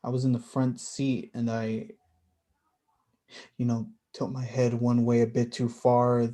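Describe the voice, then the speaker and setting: A man's voice: medium pitch, monotone, unhurried, dark tone, close to an everyday voice. A man acting a reflective blind young man in a staged play reading, hesitant and conversational.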